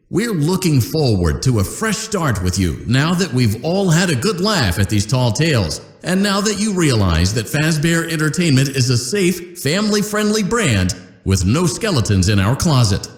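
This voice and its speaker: An actor playing a cheery male corporate training announcer with a polished sales-pitch delivery in a video game. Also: natural voice. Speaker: company announcer. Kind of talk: scripted game voice lines. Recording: roomy echo.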